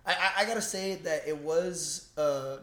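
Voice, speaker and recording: Young guy podcast cohost, slightly higher voice, slangy and excitable, echoey room sound. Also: a little slow. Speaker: podcast co-host. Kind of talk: casual film review chat.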